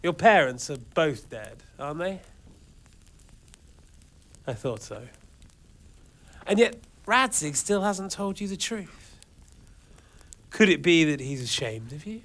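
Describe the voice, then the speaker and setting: An actor playing a scheming mercenary lord who taunts a captive with silky menace. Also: male voice, on the high side, sing-song, takes it slowly, long pauses, fairly bright tone, exaggerated voice. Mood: taunting. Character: smug scheming mercenary lord, adult man. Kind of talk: game cutscene acting.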